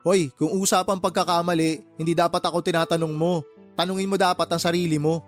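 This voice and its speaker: A man plays an indignant younger brother with a high voice and rapid delivery. He is blunt, sarcastic and full of resentment, in radio drama style, with faint music behind him. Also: flat delivery, natural voice. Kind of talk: Tagalog radio drama acting.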